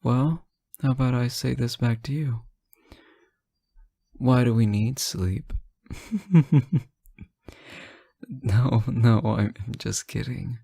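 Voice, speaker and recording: Man acting as a slow, sleepy, affectionate boyfriend. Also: mid-range voice, fairly even intonation, creaky, breathy edge, dry recording, studio quality, heavily acted. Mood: tired, defensive. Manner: soft-spoken, hesitant, drowsy, gently joking. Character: sleep-deprived, teasing, affectionate boyfriend on late-night call. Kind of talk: intimate audio roleplay, one side of a phone call.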